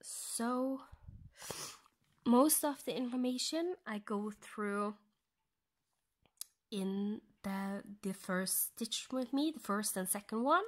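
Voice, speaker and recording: Slow, soft-spoken Norwegian woman. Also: fairly high pitch. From Norway. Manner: soft-spoken, often half-whispered, thinking aloud with pauses. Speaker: cross-stitch vlogger. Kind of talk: casual stitch-along tutorial.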